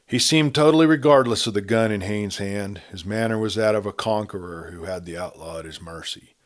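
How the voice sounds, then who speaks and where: A man narrating a Western novel in a measured, quiet reading style. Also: on the low side. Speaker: storyteller. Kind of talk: scripted story narration.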